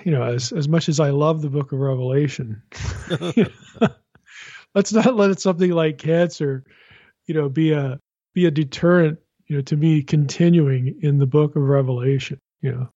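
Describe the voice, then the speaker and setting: Tired husky man rambling softly. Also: fairly high pitch, moderate pace, no room sound, dull audio. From Pennsylvania. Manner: rambling, many restarts and "you know" fillers. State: tired, low energy. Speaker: podcast host. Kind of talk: casual podcast chat. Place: sitting at a home desk.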